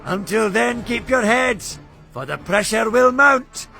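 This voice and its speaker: Creaky, high-pitched old rhyming wizard. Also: male voice, expressive, measured pace, pushed and bright, heavily acted. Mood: gloating, ominous. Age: older. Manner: theatrical rhyming, gloating. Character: crazy old scheming wizard villain who taunts in rhyme. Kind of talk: animated cartoon voice acting. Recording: music behind the voice.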